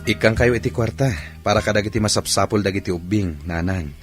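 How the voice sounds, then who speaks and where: A man's voice: on the low side, a little fast, faint music behind, lightly acted. A man acting a generous, dutiful provider in an Ilocano radio drama, with a Filipino Ilocano accent. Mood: generous, instructing.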